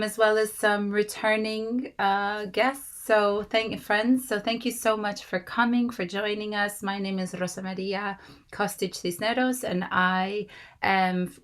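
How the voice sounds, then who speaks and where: A woman in her thirties with a slightly raspy voice from a cold, warmly welcoming guests to an online meeting with many fillers. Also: mid-range voice. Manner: gracious, rambling with fillers. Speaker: host. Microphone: video call.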